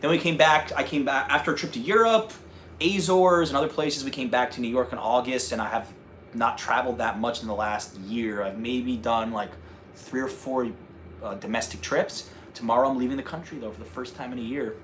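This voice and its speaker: A man's voice: medium pitch, brisk, fairly bright tone, a little hiss or hum. Chatty North Jersey guy livestreaming. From North Jersey, USA. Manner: chatty, answers viewer questions, restarts and fillers. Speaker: travel vlogger hosting a livestream. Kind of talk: casual livestream chat.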